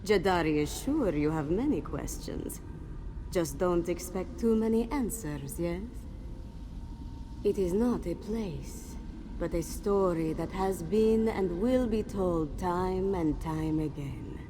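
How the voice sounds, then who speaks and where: Low, solemn cat-woman sage, noisy background. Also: animated, slow. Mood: cryptic, reflective. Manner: solemn, cryptic, speaks of self in third person. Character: grave, mystical Khajiit cat-woman warrior sage. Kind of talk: fantasy video game character acting.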